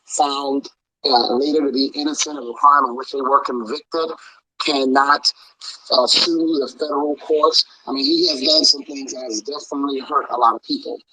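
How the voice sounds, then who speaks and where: A man's voice: medium pitch, steady tone, moderate pace, breathy edge, dry recording, low-quality recording. A man, hosting a casual livestream and talking sarcastically to his listeners. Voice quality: loud voice. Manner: sarcastic, talks directly to listeners. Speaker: political podcast host.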